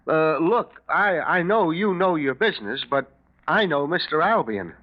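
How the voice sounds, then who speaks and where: An actor playing a nervous, desperate, weak-willed garage owner who talks hesitantly and stammers, in a 1940s radio mystery. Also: male voice, a little high, expressive, a little slow. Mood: furtive, hesitant. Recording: muffled lo-fi 1940s radio recording.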